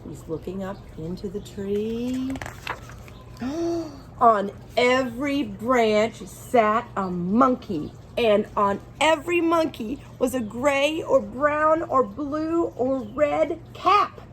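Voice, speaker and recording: A woman reading a picture book aloud to young children in an expressive way, engaging her listeners as she goes. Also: on the high side, slight background noise, poor audio. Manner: expressive, pauses to ask listeners questions. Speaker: children's storybook reader.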